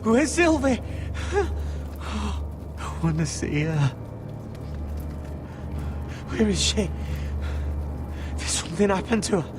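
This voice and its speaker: An actor playing a dying young fighter in great pain, weak and pleading, gasping and crying out. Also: male voice, high voice, big pitch swings, takes it slowly, long pauses, background noise, heavily acted. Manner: weak, pleading, breaking into cries. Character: dying young male wildling-country fighter in agony. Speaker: wounded companion.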